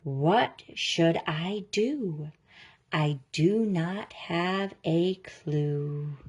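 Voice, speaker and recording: A woman reading a children's picture book aloud, with a deliberate, expressive storytelling delivery. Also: lowish voice, husky. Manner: slow, deliberate, expressive delivery of rhyming lines. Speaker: story reader.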